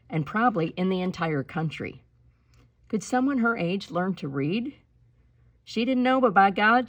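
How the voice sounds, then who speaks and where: Husky woman reading a storybook aloud. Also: lowish voice. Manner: emphatic and dramatic, slowing on key words. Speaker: reader of a children's picture book. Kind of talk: expressive read-aloud storytelling with spoken asides.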